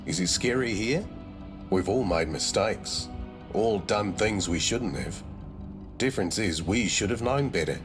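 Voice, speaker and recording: A man's voice: fairly low pitch, expressive, unhurried, music in the background. A man playing a grave, world-weary, forgiving judge in a game, terse and plain-spoken. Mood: grave, conciliatory. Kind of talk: scripted game cutscene acting.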